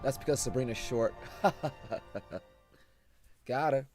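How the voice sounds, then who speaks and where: A man streaming live, casually chatting through a showcase, his voice hoarse from just waking up and his talk rambling with frequent laughs. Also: mid-range voice, fairly even intonation, slow, darkish tone, faint music behind. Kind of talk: casual live-stream watch-along chat.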